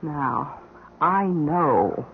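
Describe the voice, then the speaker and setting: A scheming housekeeper who is coolly in control of her employer. Her voice swings expressively and has a husky edge, with insinuating, falsely deferential phrasing. It sounds like a thin, narrow-band old radio drama. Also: sits low, animated, very slow, full character voice. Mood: insinuating, confident. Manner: composed, insinuating, mock-deferential.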